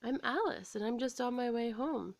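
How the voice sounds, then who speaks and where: A woman's voice: on the high side, moderate pace, dry recording, pitched above the performer's own voice, natural voice. A woman voicing a curious, polite but plucky young girl in a children's storybook.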